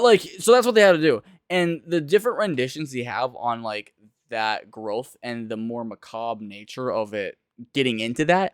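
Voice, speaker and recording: A man's voice: mid-range voice, dry recording, studio quality. A young man giving casual reaction commentary as a video reviewer, talking in a rambling, informal and emphatic way.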